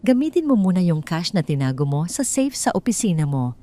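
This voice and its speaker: A betrayed wife with a secret plan, played by a woman storyteller. She speaks calmly and tenderly, feigning innocence in a melodramatic audio story. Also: lowish voice, brisk. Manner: calm, tender, innocent-sounding. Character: calm, scheming betrayed wife feigning sweetness.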